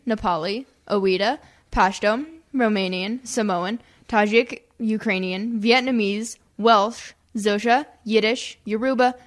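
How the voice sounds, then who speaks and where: A woman hosting a radio comedy with scripted, clearly enunciated, showy presenter narration. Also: on the high side, a bit bright.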